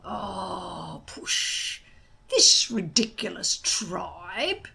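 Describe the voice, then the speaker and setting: A woman voicing a wise, patient but gruff old Viking grandfather in a children's storybook, coaxing with emphatic, drawn-out words. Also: lowish voice, very animated, pushed and bright, exaggerated voice. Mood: fuming.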